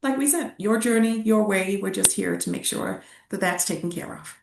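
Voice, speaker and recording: A woman's voice: medium pitch, moderate pace, creaky, a little mellow, echoey room, distant mic, slight background noise. A woman hosting a travel webinar, upbeat and affirming, laughing often. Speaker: travel company host. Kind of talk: casual webinar chat.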